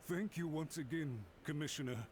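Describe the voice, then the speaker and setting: A man's voice: fairly high pitch, normal speed, darkish tone, slight background noise, natural voice. A man playing an anxious, obsequious official in a video game, speaking formally and apologetically to his superior. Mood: anxious, submissive. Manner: deferential, apologetic. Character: flustered, obsequious male official, anxious to appease. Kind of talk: English video game voice acting.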